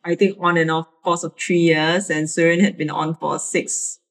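A woman's voice: sits low, level tone, normal speed. A woman in her thirties with a Singaporean accent, telling personal stories casually as a guest. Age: 30s. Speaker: interview guest.

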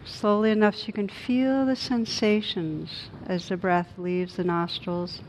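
A woman meditation teacher leading a guided meditation in a gentle, soothing, unhurried manner with long pauses. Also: flat delivery. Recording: noisy recording with low rumble.

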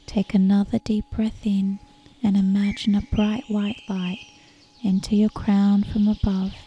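Female meditation guide: very mellow, relaxed tone, even pitch, soft delivery, measured pauses. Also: husky. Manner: soothing, measured, with long pauses. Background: soft meditation music with birdsong.

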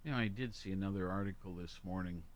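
A man co-hosting a casual talk, reading humorous lists aloud deliberately and emphatically, with offhand asides. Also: low-pitched. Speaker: talk show co-host.

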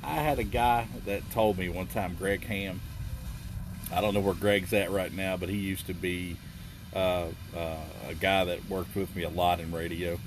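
Brief: fifties male, mild Southern US accent, low voice, relaxed storyteller; faint background hiss. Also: normal speed. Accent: Southern US, slight. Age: 50s. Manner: easygoing, chuckling storyteller. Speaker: podcast co-host. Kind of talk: casual chat.

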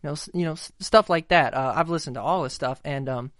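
A young male singer from outside Nashville, Tennessee, chatting as a guest in a studio interview, relaxed and full of fillers and restarts. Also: fairly high pitch, fast talker, no echo. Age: young adult. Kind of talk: casual interview chat.

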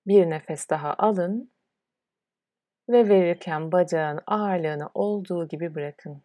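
Low-pitched woman guiding deep relaxation. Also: mellow, dry recording, clean studio sound. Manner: soothing, unhurried instruction with pauses. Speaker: yoga nidra instructor. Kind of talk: guided relaxation meditation.

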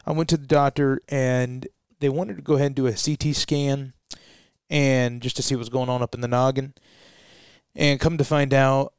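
A man's voice: mid-range voice, darkish tone, smooth, no echo, clean studio sound. A young adult man co-hosting a casual talk show, chatty and rambling, laughing at himself now and then. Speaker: co-host. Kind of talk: casual chat.

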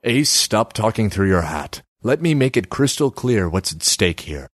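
A man's voice: lowish voice, very animated, moderate pace, no room sound, studio quality, pitched above the performer's own voice. A man voicing a plain-spoken, earnest older male kiosk keeper in a story reading. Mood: insistent.

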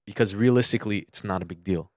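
A young American man with a fairly low voice talks quickly in casual podcast conversation. He gives advice emphatically, circling back to repeat his points. The sound is close and dry. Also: dry recording. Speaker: podcast co-host. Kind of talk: casual chat giving advice.

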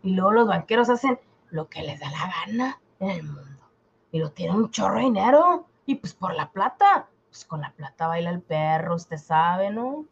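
A man's voice: high voice, big pitch swings, moderate pace, vocal fry, slightly rough, pitched above the performer's own voice, heavily acted. Man playing a sly, folksy neighbour, slightly muffled. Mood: knowing, persuasive. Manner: folksy, insistent, explanatory. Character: worldly, sly male neighbour, folksy and knowing. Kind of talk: comic radio drama acting.

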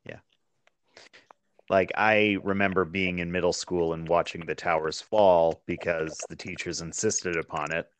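A man's voice: deep voice, steady tone, a little slow, clear, resonant tone, dry recording. A man in his thirties hosting a podcast, chatting easily and agreeably with a guest. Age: 30s. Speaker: podcast host. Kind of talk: casual chat.

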